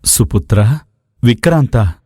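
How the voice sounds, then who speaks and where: A man's voice: medium pitch, expressive, slow, dark tone, breathy edge, dry recording, studio quality. Man playing a patient, worried Indian king. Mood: fond, encouraging. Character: patient, worried maharaja, a fond father. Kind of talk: dramatized moral story dialogue.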